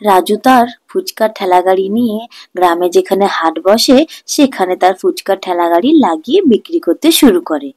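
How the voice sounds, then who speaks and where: A woman's voice: mid-range voice, lively intonation, a little fast, darkish tone. A woman storyteller reading scripted narration for a children's tale.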